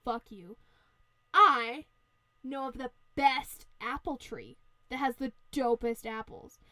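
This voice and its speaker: Woman playing a crude, indignant storybook wolf, high-pitched. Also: takes it slowly, pushed and bright, no room sound, full character voice, poor audio. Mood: casual, scheming. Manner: slangy, crude, bro-like talk. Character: foul-mouthed, hungry, indignant male wolf from a fairy tale.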